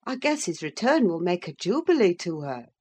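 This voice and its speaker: A woman reading a story in the part of an earnest female servant, respectful but gently chiding. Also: lowish voice, sing-song, normal speed, breathy edge. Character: deferential but outspoken female household servant, earnest and protective. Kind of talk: dramatised audiobook reading.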